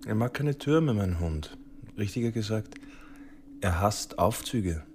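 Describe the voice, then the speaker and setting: A wry, stubborn male detective in a radio crime drama. His voice is very deep and his delivery calm and dry, with faint ambient noise behind. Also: measured pace.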